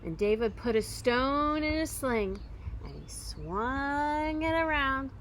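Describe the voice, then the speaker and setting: A woman reading a children's story aloud expressively, with dramatic emphasis, pauses and occasional hushed phrasing. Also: sits high, animated, a little hiss or hum. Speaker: storybook reader.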